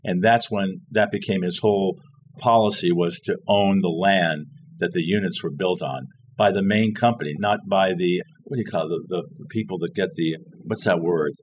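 A man's voice: deep voice, moderate pace, a little hiss or hum. A man telling a long story in casual conversation, rambling and stopping to search for words. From born in France, grew up overseas. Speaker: guest telling stories.

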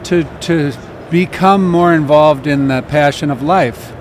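A man hosting a casual interview talks with eager enthusiasm in a fairly high voice. Faint outdoor street noise sits behind him. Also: unhurried. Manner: enthusiastic, complimentary. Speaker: podcast host.

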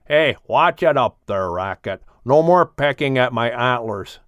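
A man's voice: mid-range voice, animated, measured pace, fairly bright tone, clear, resonant tone, no echo, lo-fi sound. A man voicing a grumbling, bossy moose with a blunt, grumpy manner in an audiobook. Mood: annoyed. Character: grumbling, put-upon male moose, gruffly bossy. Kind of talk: audiobook character voice.

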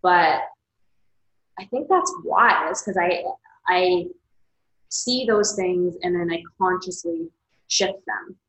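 A woman's voice: lowish voice, slow pace, pauses often, a bit bright, echoey room, low-quality recording. A woman author and coach talking candidly in an interview, reflective and open, with lots of "like" fillers. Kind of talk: casual interview conversation.

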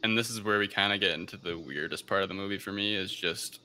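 A man hosting a podcast, talking casually through a film review in a halting, rambling way. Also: deep voice, pushed and bright, clear, resonant tone. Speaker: podcast host.

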